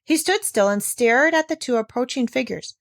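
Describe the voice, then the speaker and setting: A woman reading a children's classic aloud as expressive scripted narration. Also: on the high side, normal speed, gravelly, no room sound. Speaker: audiobook reader.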